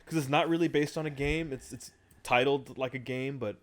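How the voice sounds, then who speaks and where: A man co-hosting a podcast in a casual chat, animated as he steers the discussion. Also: mid-range voice, normal speed. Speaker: podcast co-host.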